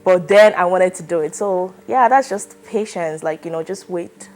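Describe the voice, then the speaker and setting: A woman in her twenties, raised in Nigeria, answers interview questions in a loose, conversational way. Her voice sits a little low, and faint music plays behind her. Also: moderate pace. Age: 20s. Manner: relaxed, chatty, many fillers like "like" and "you know". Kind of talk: oral history interview.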